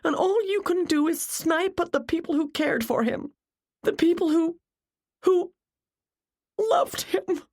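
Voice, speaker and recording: A woman's voice: extremely high pitch, a little slow, long pauses, darkish tone, pitched above the performer's own voice. A woman voicing a distraught, tearful woman in an audiobook, sobbing and spitting out accusations. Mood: furious, anguished.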